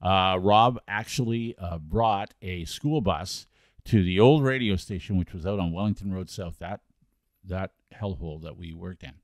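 A man in his sixties co-hosting a casual podcast over a video call, teasing in a wry, drawn-out way into a studio mic. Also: sits low, no room sound. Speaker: podcast co-host. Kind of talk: casual chat. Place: home room with brick wall. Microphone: studio mic on arm, headphones, video call.

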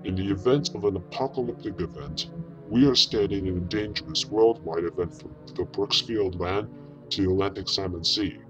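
A man's voice: low-pitched, close to an everyday voice, poor audio. A man playing a grave wartime fleet commodore. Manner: grave, solemn, measured. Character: male fleet commodore addressing a nation at war. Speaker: wartime leader giving an emergency address. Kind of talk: emergency broadcast speech. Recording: speech over loud music.

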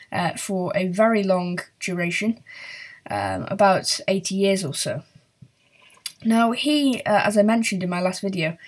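Woman doing a history explainer at home, breathy voice, keeps saying uh and sorry. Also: fairly low pitch. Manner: hesitant, many fillers and apologies. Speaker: history video host. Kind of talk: casual explainer narration. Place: house with work going on downstairs.

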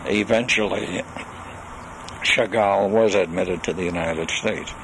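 An older man recording a spoken memoir on cassette, reminiscing in a deliberate, halting way with long pauses. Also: deep voice. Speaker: storyteller recalling his life and legal practice. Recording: noisy 1980s home cassette recording.

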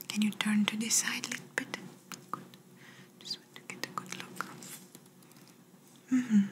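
A woman playing a gentle, reassuring doctor in an ASMR exam roleplay, speaking in a soft breathy whisper with slightly phrasing. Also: fairly high pitch. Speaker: ASMR performer. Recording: noisy close-up whisper recording.

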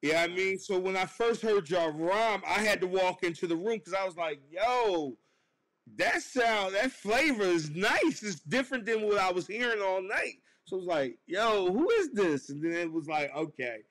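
A man from the East Coast hosting a casual interview over a video call, relaxed and encouraging, often agreeing with "right, right". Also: sits high, normal speed, rough recording. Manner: relaxed, affirming, lots of "right, right". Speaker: interview host.

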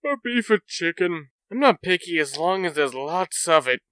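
A man's voice: high-pitched, lively intonation, moderate pace, a bit bright, smooth. A man voicing a cocky, blustering young soldier in a comic book dub, with a theatrical, exasperated manner. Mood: drowsy, bossy.

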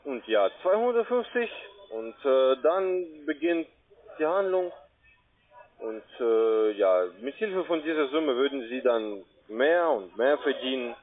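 A man's voice: mid-range voice, expressive, slow pace. Non-native male phone salesman on a tinny line. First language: not German. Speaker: call-centre sales agent. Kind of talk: telephone sales pitch.

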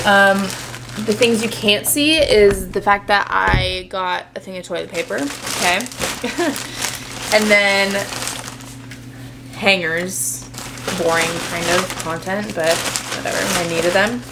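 A woman's voice: mid-range voice, noisy background. A young woman in her twenties vlogging casually to camera, rambling with lots of fillers, a little nasal from a mild cold, filmed in her car and bedroom. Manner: chatty, rambling, restarts and "like" fillers. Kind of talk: casual vlog chat to camera. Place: in a car, then a bright bedroom. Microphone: camera mounted on the dashboard.

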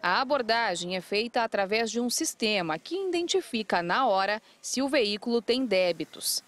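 A woman, reading a scripted news report in a crisp, even way. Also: fairly high pitch, moderate pace.

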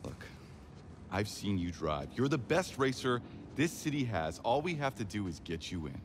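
An urgent, scheming casino owner, with lively swings in pitch. He pushes persuasively in a dramatic game scene, with some background noise. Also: moderate pace.

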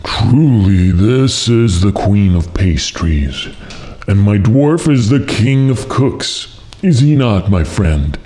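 A man's voice: on the low side, expressive, slow, warm tone. A man voicing a blustering, short-tempered Grand Duke in a storybook, imperious and emphatic. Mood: pompous, pleased.

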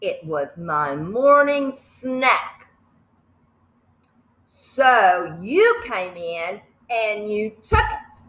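A woman puts on a huge, cruel headmistress in a storybook reading, booming and sneering at a slow, heavy pace. The voice is sharp and bright, swinging widely up and down. It rings in a room with a clear echo. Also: big pitch swings, exaggerated voice. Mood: furious. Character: fierce bullying headmistress, big and cruel. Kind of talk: reading a children's book aloud.

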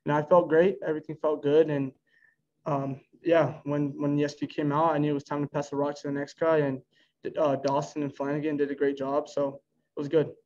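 A young American man in his early twenties gives post-game answers as a college pitcher. The voice is a little high and quite even, with dull lo-fi call sound. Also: brisk. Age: early 20s. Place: indoor press room before a backdrop. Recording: dull, lo-fi video call audio.

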